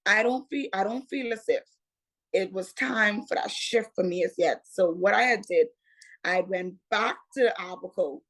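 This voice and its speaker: A Bahamian woman in her twenties, a guest telling her story in a casual, unhurried interview. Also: medium pitch, a bit bright, rough recording. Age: 20s. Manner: unhurried, repeats "what had happened was".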